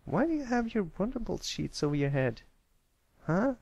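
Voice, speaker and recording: A man's voice: sits high, sing-song, normal speed, vocal fry, darkish tone, no echo, lo-fi sound, pitched above the performer's own voice, heavily acted. A man voicing a kindly, blunt and curious young pony filly in a storybook reading, in a plain-spoken way. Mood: skeptical.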